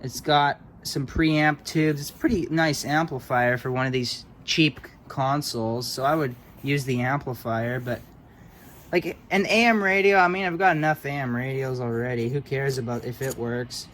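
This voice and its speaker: A man's voice: medium pitch, steady tone, normal speed, fairly bright tone, a little hiss or hum. A man giving casual, hands-on commentary as a hobbyist electronics repairer, offhand and muttering as if to himself.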